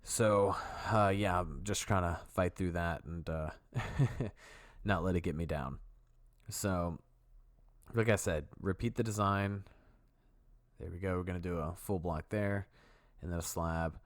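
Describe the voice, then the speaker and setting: A man with a very low voice talks through a building tutorial as a relaxed voice-over. He is sick and a bit sniffly, and he rambles easily and corrects himself along the way. The sound is dry and close, with no room around it. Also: measured pace, no room sound. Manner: easygoing, rambling, self-correcting. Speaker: video tutorial host. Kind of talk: casual voice-over walkthrough.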